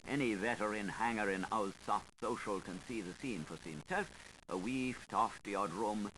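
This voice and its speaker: A man narrating a literary audiobook in a theatrical, deliberate and playful way, with dramatic pauses. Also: fairly low pitch, fairly bright tone, rough recording. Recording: 1985 audiobook recording with faint hiss.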